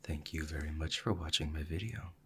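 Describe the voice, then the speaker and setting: A man's voice: extremely low pitch, brisk, breathy edge. A man who creates ASMR roleplays, speaking to his viewers in a polite channel outro.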